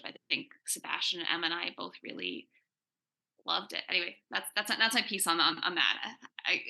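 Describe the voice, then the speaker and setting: A woman speaking conversationally as a writer on a panel, thinking aloud haltingly and often repeating words. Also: on the low side, pushed and bright. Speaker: comic book writer answering questions in a panel. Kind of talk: casual, conversational panel discussion.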